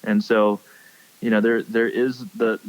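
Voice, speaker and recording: A young man from Hawaii, a pro angler, chatting as a podcast guest and speaking haltingly with many fillers. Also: lowish voice, little pitch movement, poor audio. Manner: hesitant, many fillers and restarts. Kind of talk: casual podcast chat.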